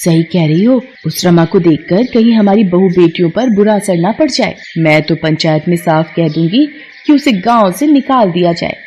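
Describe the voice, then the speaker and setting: A woman voicing sharp-tongued, judgmental village gossips in a dramatised moral story, with shrill disapproving exclamations. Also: lively intonation, normal speed, hardly any pauses, a little hiss or hum, lightly acted. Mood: indignant, judgmental.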